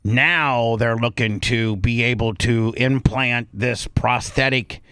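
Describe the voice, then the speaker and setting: A man's voice: mid-range voice, fairly even intonation, takes it slowly, a bit bright, smooth, no echo. A man speaks as a talk radio host in a mid-range voice, recorded dry with no room sound. He comments casually, circling back over his phrases and restarting as he explains.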